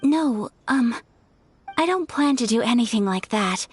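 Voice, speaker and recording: Ayaka, high-voiced refined young noblewoman. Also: lively intonation, breathy edge. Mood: nervous, shy. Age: young adult. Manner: polite, formal, measured, hesitant. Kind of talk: video game character acting.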